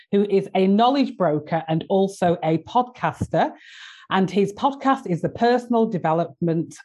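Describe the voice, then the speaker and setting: A woman hosts a podcast interview and puts relaxed, encouraging questions to her guest. Her voice has a husky, slightly breathy edge at a middle pitch. She keeps the talk flowing with soft affirming murmurs. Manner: warm encouraging questions, frequent backchannel. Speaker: podcast host and psychotherapist. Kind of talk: conversational interview hosting.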